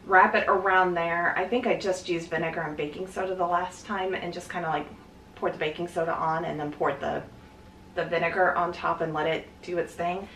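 A woman's voice: on the low side, fairly even intonation, fairly quick, fairly bright tone, far from the mic, a little hiss or hum. A woman in her thirties talking casually while she cleans her kitchen, thinking aloud with fillers and restarts. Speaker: cleaning vlogger. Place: home kitchen, indoors. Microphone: camera mic from a few feet away. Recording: roomy kitchen sound from off-mic.

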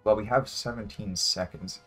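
Deep-voiced young man thinking aloud over music. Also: steady tone, moderate pace, fairly bright tone, breathy edge. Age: young adult. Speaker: guest player. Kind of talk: casual chat while playing a game. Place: indoor room, sharing a webcam frame with a friend.

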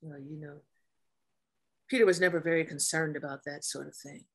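A woman acting a weary, bitter naval officer in a drama, speaking bluntly. Her voice is low and husky, with a rough edge. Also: steady tone, moderate pace. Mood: subdued. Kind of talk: dramatic script table reading.

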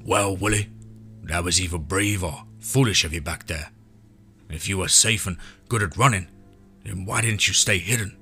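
A man reading the part of a steady adult male companion who asks calm questions. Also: low voice, normal speed, soft background music.

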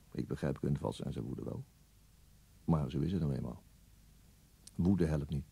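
An actor playing a weary, brooding police detective in a radio play monologue, deliberate and resigned, with a husky, gravelly voice. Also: male voice, a bass voice, dark tone, full character voice. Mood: resigned, bitter.

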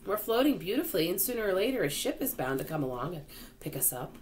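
Woman voicing a patient young boy, lively pitch. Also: unhurried, heavily acted. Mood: reassuring. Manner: reassuring, explaining.

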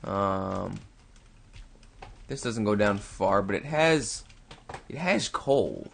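A man's voice: on the low side, animated, extremely slow, gravelly, fairly bright tone, a little hiss or hum. Chatty young man, gaming commentary. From Canada. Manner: chatty, rambling, talks to himself and viewers. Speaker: gaming YouTuber. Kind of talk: casual let's-play commentary.

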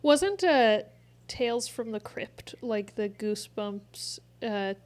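A woman's voice: on the high side, lively intonation, slow, a little mellow. A woman of twenty-six co-hosting a podcast, telling stories in an offhand, swearing, casual way. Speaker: co-host. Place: home studio with bookshelves. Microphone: studio mic with headphones.

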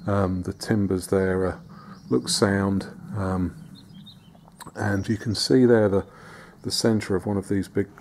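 A man giving a casual, relaxed walk-around tour, filming on a handheld camera outdoors and inside a barn, often pausing with fillers and restarts. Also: deep voice, a little hiss or hum. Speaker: vlogger restoring a farmhouse.

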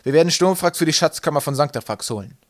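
A man's voice: medium pitch, fairly even intonation, racing, natural voice. A man voicing a furious sky pirate captain in an audiobook, bellowing and barking orders. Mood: forceful, announcing. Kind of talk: audiobook character acting.

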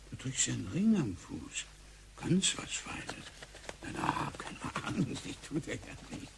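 Creaky, halting old grandfather. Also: medium pitch, a little hiss or hum, exaggerated voice, poor audio. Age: older. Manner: halting, murmuring, repeats words. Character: gentle, curious, absent-minded old grandfather. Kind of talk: German sci-fi radio drama acting.

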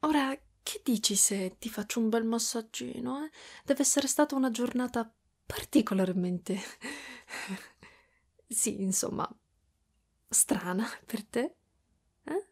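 Woman playing a motherly spider-woman, ASMR roleplay, slightly high pitch, husky breathiness, soft, cooing, clingy, affectionate. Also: slow, long pauses, heavily acted. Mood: soothing, affectionate. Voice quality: soft, breathy with whispery stretches. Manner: cooing, affectionate, giggly, pet names.

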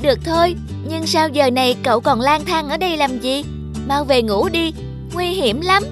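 A woman's voice: high voice, normal speed, music in the background, close to an everyday voice. A woman voicing a cautious, loyal young mug in a cartoon, earnest and childlike. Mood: cautious warning.

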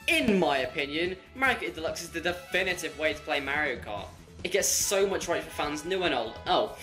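A man's voice: high voice, a bit bright. A teenage male video host reading a scripted game review in a wry, tongue-in-cheek manner.

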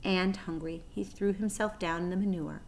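A woman's voice: lowish voice, fairly even intonation, normal speed, slight background noise. A woman in her forties reading a children's story aloud expressively, as a storyteller. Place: small plain room indoors.

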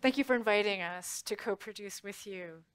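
A woman's voice: mid-range voice, normal speed, clear, resonant tone. A woman in her forties, a professor moderating a public panel, speaking informally and laughing easily with her guests. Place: large auditorium stage. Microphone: headset mic.

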